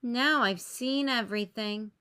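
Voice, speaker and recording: A woman's voice: on the high side, measured pace, pushed and bright, clear, resonant tone. A woman voicing a bossy, scornful young girl who scolds and exclaims in a children's storybook reading. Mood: incredulous.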